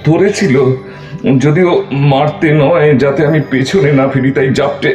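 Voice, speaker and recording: A man's voice: on the high side, normal speed, darkish tone, natural voice. Guy acting panicky guilty man in a radio play, stammers, roomy echo, music behind. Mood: flustered, defensive. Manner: stammering, repeating words in agitation. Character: guilty, panicked man, a police suspect pleading innocence. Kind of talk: audio drama acting.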